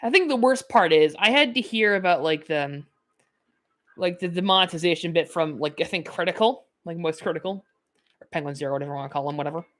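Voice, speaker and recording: A nineteen-year-old woman talks over her gameplay in a loose, rambling way, stacking fillers and breaking into little laughs. Her voice sits a bit low for a woman. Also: fast talker, a bit bright. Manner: chatty, filler-heavy, laughs often. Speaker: gaming YouTuber. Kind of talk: rambling let's-play commentary.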